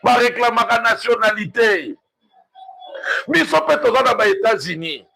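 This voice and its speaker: A man with a Congolese accent makes an impassioned political argument in a high, raspy voice. His pitch swings widely as he stresses points, repeats words and throws out rhetorical questions. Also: animated, normal speed, pushed and bright, low-quality recording. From Democratic Republic of the Congo.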